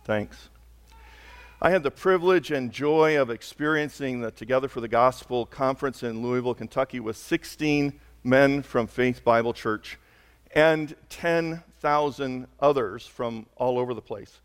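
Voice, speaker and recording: A man preaching a sermon as a pastor, hoarse, talking conversationally and building to slow, emphatic peaks. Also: medium pitch, long reverb tail. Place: church sanctuary.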